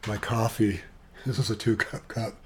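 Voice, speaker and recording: A soft-voiced Canadian man in his seventies, sitting at his computer at home and rambling through a personal video monologue with many asides. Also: lowish voice, steady tone, moderate pace, slight background noise. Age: 70s. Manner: chatty, eccentric, wandering with asides and fillers. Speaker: vlogger. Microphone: webcam with external sound gear.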